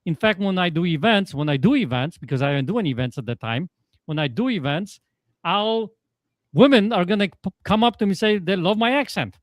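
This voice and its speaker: A man's voice: sits high, animated, brisk, no room sound. A man with a Polish accent, a coach talking as a guest in a casual interview, emphatic and fond of rhetorical "guess what" questions. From Poland.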